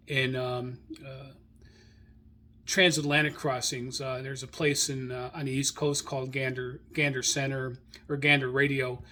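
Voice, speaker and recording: A middle-aged or older American man hosting an informal radio hobby monologue, rambling haltingly and repeating phrases. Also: medium pitch, bright, forceful tone. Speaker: radio hobby show host.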